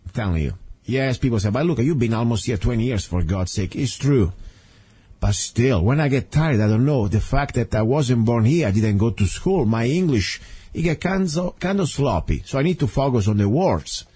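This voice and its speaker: A man's voice: mid-range voice, lively intonation, normal speed, warm tone, husky. A man from Italy with an Italian accent, hosting a talk radio show in an earnest, rambling monologue full of fillers and restarts. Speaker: radio host. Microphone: studio microphone.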